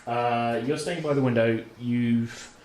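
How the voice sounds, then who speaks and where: A man's voice: mid-range voice, fairly even intonation, takes it slowly, darkish tone. A man narrating a tabletop game as game master in a casual, improvised, hesitant conversational way. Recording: roomy echo, faint background noise.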